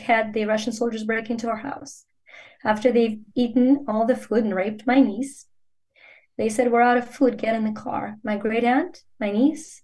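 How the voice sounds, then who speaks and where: A Ukrainian-born woman, a native Ukrainian speaker, with a voice that sits a little high. She talks as a podcast guest, earnest and emphatic, and often slips into the tone of a coach. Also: darkish tone. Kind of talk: podcast interview.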